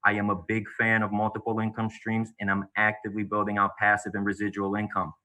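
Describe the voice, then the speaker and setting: A man in his thirties, originally from Bulgaria, delivers a rehearsed, formal speech over a video call. His voice sits low and barely moves in pitch. The tone is very bright and forward. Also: monotone, fast talker, low-quality recording. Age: 30s. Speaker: real estate agent and guest speaker.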